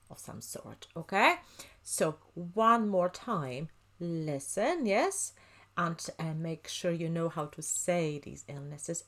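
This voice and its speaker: Slow, sing-song woman teaching English. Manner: slow, careful, repeats phrases for learners; lively sing-song pitch, drops to a near-whisper at phrase ends. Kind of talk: recorded language lesson over slides.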